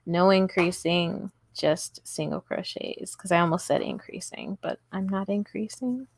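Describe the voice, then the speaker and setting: A woman's voice: fairly low pitch, a little slow, gravelly. A woman hosting a casual crochet livestream, chatty and giggly as she talks to her viewers. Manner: chatty, giggly, talks to chat while working. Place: indoors at home table.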